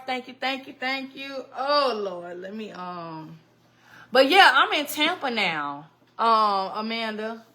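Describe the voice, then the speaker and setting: A woman's voice: fairly high pitch, a bit bright. A woman hosting a casual live stream, chatting animatedly with viewers, greeting them by name and laughing often. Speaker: livestream host. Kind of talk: casual livestream chat with viewers.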